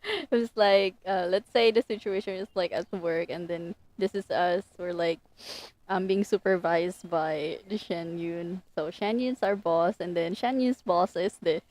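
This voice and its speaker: A woman's voice: fairly low pitch, unhurried, a little mellow. A woman streamer talking casually as she plays a game on a livestream.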